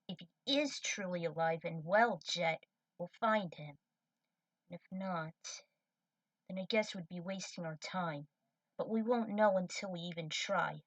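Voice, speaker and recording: A woman's voice: on the low side, a little slow, no room sound, far from the mic, low-quality recording. A woman voicing a determined, loyal young female gambler in a fan fiction story reading.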